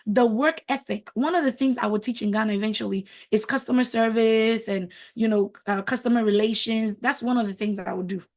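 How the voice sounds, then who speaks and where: A woman's voice: a little high, darkish tone. A middle-aged woman whose first language is French, giving a motivational talk as a marriage coach, emphatic and preachy, repeating words for stress.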